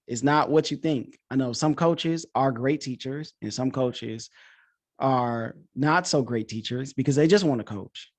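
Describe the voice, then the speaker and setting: A man hosting a podcast in a casual, informal chat, talking with enthusiasm like a coach. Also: medium pitch. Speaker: podcast host; coach and teacher.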